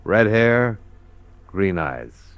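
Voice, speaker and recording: An actor playing a cool, laconic, wry insurance investigator in a 1950s radio detective drama. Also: male voice, fairly low pitch, sing-song, extremely slow, music in the background, heavily acted. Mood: measured, narrating.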